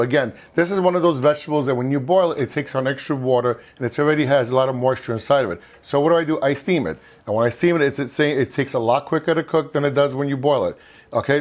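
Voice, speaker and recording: A man in his fifties talks quickly through an informal cooking demonstration. His pitch is average for a man. He is chatty and circles back to rephrase what he just said, recorded on a lapel mic in a home kitchen. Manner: chatty, repeats and rephrases himself. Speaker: cooking show host.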